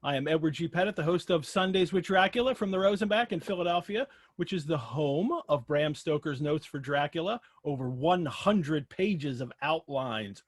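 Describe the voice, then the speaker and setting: An American man with a slightly high voice hosts a live online chat. He is effusive and jokey as he talks to his guest and audience. The sound is close and dry, with very little room in it. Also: dry recording. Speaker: host of an online literary show. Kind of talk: live webinar interview and casual chat. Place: video call.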